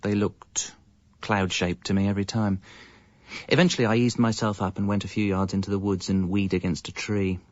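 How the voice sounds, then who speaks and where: A man's voice: on the low side, little pitch movement, a little fast, dry recording, lo-fi sound, natural voice. An English man playing a hapless, evasive young father, narrating and talking in a radio comedy with a hesitant, wry manner. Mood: wry, anxious. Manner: hesitant, self-justifying, wry. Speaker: narrator and lead character.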